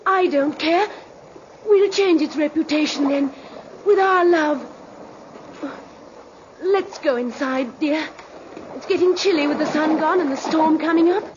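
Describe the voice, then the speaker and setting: An actress in a 1950s radio drama playing an adoring, sentimental young mistress, gushing and emotional. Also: female voice, sits high, unhurried, pauses often. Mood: tender. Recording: muffled, noisy vintage radio recording.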